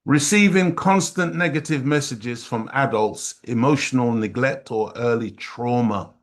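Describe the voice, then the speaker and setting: A man's voice: mid-range voice, measured pace. A middle-aged man from Jamaica giving an impassioned community teaching talk, emphatic and preacher-like, repeating phrases for weight. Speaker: study group speaker.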